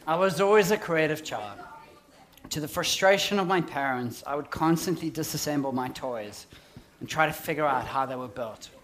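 A man in his forties with a South African accent, giving a prepared, measured public talk on design. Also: on the high side, fairly bright tone.

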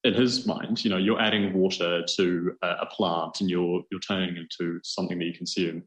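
A man's voice: sits low, fairly even intonation, a little fast, a bit bright. A man with a New Zealand accent explaining things conversationally as a journalist being interviewed, with an enthusiastic manner. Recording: roomy, echoing sound.

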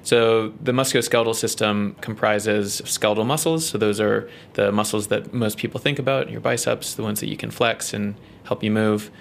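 A man with a New Zealand accent, a research scientist explaining his work in an interview, measured and full of ums and uhs. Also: on the low side, steady tone, vocal fry, slight background noise.

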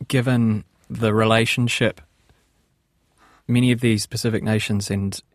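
A man's voice: on the low side, little pitch movement, measured pace, dry recording. A man with a New Zealand accent interviewing a guest in a conversational way, thinking aloud as he asks. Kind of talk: radio interview.

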